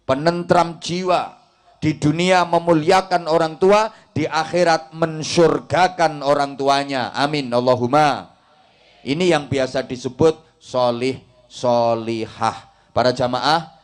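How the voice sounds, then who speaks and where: Jokey Javanese-accented male preacher. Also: mid-range voice. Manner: jokey, teasing the crowd, mixing in Javanese. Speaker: Islamic preacher. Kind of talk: comic village sermon.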